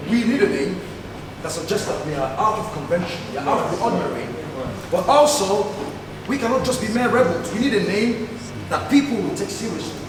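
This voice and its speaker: A man's voice: high voice, animated, a little fast. A man with a Nigerian accent playing an earnest, declamatory young student idealist in a stage play. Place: stage in a hall, distant microphone. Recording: echoey noisy theatre from far off.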